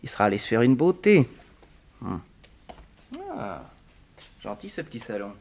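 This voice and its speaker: An actor playing an observant detective who narrates his case with measured, wry musing in a 1960s French radio serial. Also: fairly low pitch, very animated, creaky, a little mellow, exaggerated voice. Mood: musing, suspicious.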